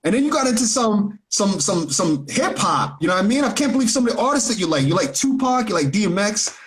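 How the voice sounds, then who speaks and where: High-voiced enthusiastic radio host man. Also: fast. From Canada. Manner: enthusiastic, animated, fond of street slang. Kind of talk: casual hip hop interview. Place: home setup, remote during lockdown.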